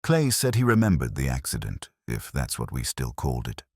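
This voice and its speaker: A man reading a scripted first-person detective story in a measured, literary, wry manner. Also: very deep voice, huge pitch swings, darkish tone, husky, no room sound, studio quality. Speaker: narrator.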